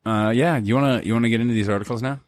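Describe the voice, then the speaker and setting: A man's voice: medium pitch, racing. A man co-hosting a podcast in casual banter, laid-back and profane, with lots of filler words. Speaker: podcast co-host.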